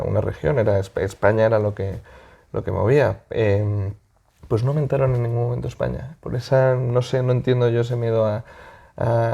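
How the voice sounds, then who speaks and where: A man from Madrid with a Spain accent, answering as a political interview guest in a halting, conversational way, searching for words. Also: medium pitch, vocal fry, warm tone, muffled recording.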